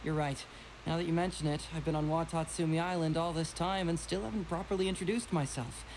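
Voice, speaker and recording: A man voicing a young, confident, shrewd detective in a video game, with a casual, smooth, teasing manner. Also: on the high side, steady tone, a little fast, darkish tone, a little hiss or hum, close to an everyday voice, low-quality recording. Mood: polite, breezy. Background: game music.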